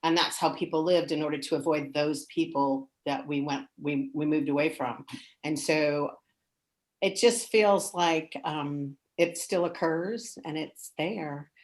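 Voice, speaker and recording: A woman's voice: low voice, unhurried, a little mellow. A middle-aged or older American woman telling personal stories casually in an online discussion over a video call. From United States. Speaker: discussion participant. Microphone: video call microphone.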